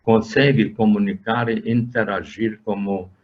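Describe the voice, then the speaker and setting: An older man from Hungary with a Hungarian accent, giving an interview and recounting his working life, speaking haltingly with many fillers and restarts. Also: fairly low pitch, steady tone, darkish tone. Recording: dull lo-fi sound.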